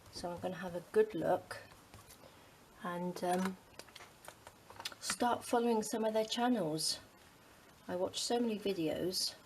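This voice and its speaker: A middle-aged woman from the UK, chatting casually to her craft channel, rambling with many ums and dropping to a soft near-whisper as she works. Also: on the low side, slight background noise, low-quality recording. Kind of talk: casual chat while crafting.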